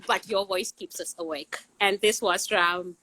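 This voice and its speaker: A woman in her forties with a loud, booming voice and a bright, forceful edge talks easily as a science teacher fielding casual questions. She laughs often between answers. She is recorded outdoors on a windy day. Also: unhurried, rough recording. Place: outdoors in the wind.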